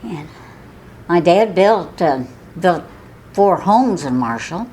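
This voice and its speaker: A woman's voice: lowish voice, expressive, takes it slowly, darkish tone, slight background noise. A woman in her eighties with a Southern Illinois accent, chatting and laughing as she reminisces in an oral history interview. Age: 80s. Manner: chatty, laughs often, with false starts. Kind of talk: casual reminiscing.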